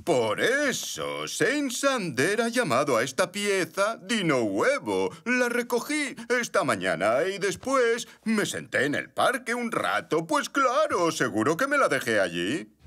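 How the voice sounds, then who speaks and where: A man's voice: sits high, wildly swooping pitch, unhurried, few pauses, pushed and bright, exaggerated voice. A man voicing a fussy, flustered zebra father in a children's cartoon, harried and dramatic. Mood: amazed, relieved. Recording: music behind.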